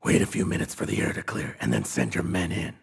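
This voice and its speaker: Grim masked vigilante, video game: deep, menacing rasp, terse, with a wide-swinging pitch. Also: male voice, expressive, quick pace, full character voice. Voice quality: gravelly, rasping half-whisper. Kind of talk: video game cutscene acting.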